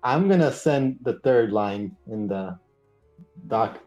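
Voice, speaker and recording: A man's voice: lowish voice, lively intonation, takes it slowly, a little mellow, muffled recording. A man talking casually as a player during a tabletop roleplaying session. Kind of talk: casual game talk. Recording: music playing behind the voice. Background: music.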